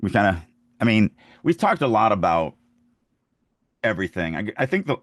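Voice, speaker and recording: A man's voice: lowish voice, big pitch swings, moderate pace, dry recording, studio quality. A man hosting a casual podcast chat about writing, speaking emphatically and acting out examples to make his points. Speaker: podcast host and writing coach.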